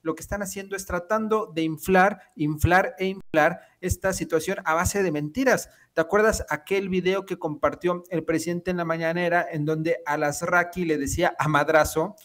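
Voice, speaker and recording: A man speaks as a political analyst over a headset mic from his home study. His voice sits slightly high for a man. He argues emphatically, padding phrases with "eh". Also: steady tone, moderate pace, hardly any pauses, a bit bright. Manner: emphatic, frequent "eh" fillers. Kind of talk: political commentary on video call. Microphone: headset with boom mic.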